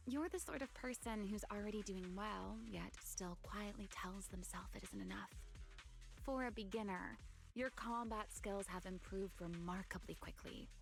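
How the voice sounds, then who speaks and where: A woman playing a composed, enigmatic fighter and mentor in an anime-style game, unhurried, playful and slightly formal. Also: mid-range voice, creaky.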